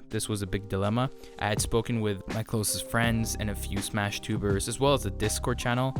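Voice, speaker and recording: Young guy talking earnest and reflective, lowish voice, guitar music playing under him. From Norway. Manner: earnest and reflective, with frequent "so yeah" fillers. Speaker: YouTube creator. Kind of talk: personal update monologue.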